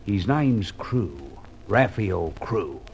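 An actor playing a chatty, sympathetic London constable with a Cockney accent, matey and respectful, in a radio drama. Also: male voice, on the low side, very animated, extremely slow, gravelly, darkish tone, lo-fi sound, a little hiss or hum, exaggerated voice. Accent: Cockney English. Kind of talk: old-time radio drama acting.

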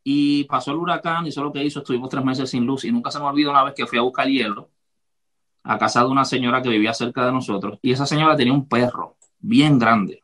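Host guy with a bright punchy voice, easygoing, laughs a lot while telling a story. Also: medium pitch, fast talker. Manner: relaxed storyteller who laughs easily. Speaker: podcast host. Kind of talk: casual conversation.

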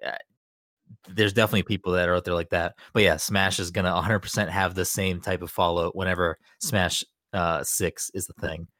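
A man chatting on a podcast, rambling eagerly with lots of fillers and quick laughs. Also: low-pitched, fairly even intonation, a bit bright, no room sound, clean studio sound. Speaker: podcast co-host. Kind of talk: casual chat.

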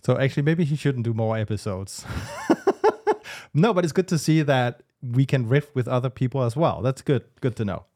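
A man's voice: medium pitch, very animated, moderate pace, warm tone, no room sound, clean studio sound. A man co-hosting a business podcast, making points with joking asides. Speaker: podcast co-host. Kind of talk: casual business chat.

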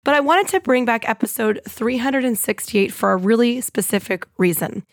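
A woman hosting her own podcast in an upbeat, chatty monologue, her voice a little scratchy and hoarse. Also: a little high, normal speed, no echo, clean studio sound. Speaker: podcast host.

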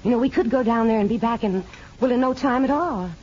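A dull old radio recording with light noise carries an actress as a young nurse. She is earnest and evasive, with a mellow, gentle tone. Her delivery is hesitant and pleading, in classic 1950s radio drama manner. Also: fairly high pitch, fast, natural voice. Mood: tender, pleading. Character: anxious young nurse hiding a past, evasive, affectionate.

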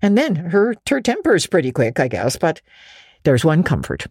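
A woman's voice: deep voice, sing-song, normal speed, a little mellow, breathy edge, no room sound, clean studio sound, voice pushed up, heavily acted. A woman voicing a kindly but officious older country woman, folksy, hearty and outspoken, in a storybook reading. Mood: musing, approving. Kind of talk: dramatic audiobook reading.

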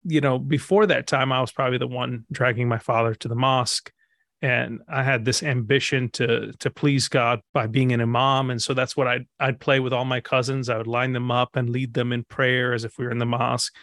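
A man in his thirties, originally from Egypt, gives reflective interview answers at a mid pitch. He pauses and restarts as he gathers his thoughts, and the recording is dry with no room sound. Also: level tone, fairly bright tone, dry recording. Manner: reflective, thinks aloud with fillers and restarts. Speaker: pastor and author guest.

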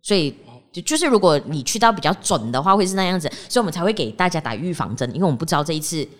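A woman's voice: lowish voice, sing-song, racing. A woman with a Malaysian accent, hosting a casual behind-the-scenes podcast chat. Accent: Malaysian Chinese-language. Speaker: podcast host.

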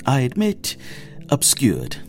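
An actor in an audio drama playing a cautious, earnest male technician, measured and hesitant. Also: mid-range voice, wildly swooping pitch, soft background music. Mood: cautiously hopeful.

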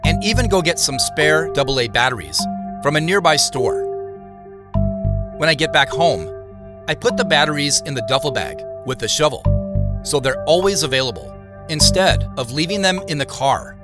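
A man's voice: a little high, expressive, normal speed, loud music behind the voice, natural voice. An actor playing a reflective man who narrates his own story in an audio drama, matter-of-fact and confiding. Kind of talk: first-person scripted drama narration.

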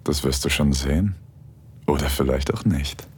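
A man playing a relaxed, teasing, seductively commanding husband in an erotic audio drama. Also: a bass voice, normal speed, husky, heavily acted.

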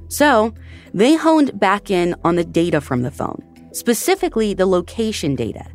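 A woman hosting a true crime podcast, narrating the case in a conversational way and speaking directly to her listeners. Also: mid-range voice. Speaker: podcast host.

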